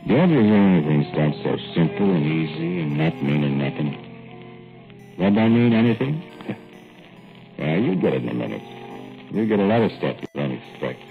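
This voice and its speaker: An actor playing a young, easygoing small-town plumber who also narrates, in a folksy drawl, 1940s radio drama style. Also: male voice, rumbling low, sing-song, normal speed, vocal fry, pauses often, exaggerated voice. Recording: tinny old radio broadcast with faint music.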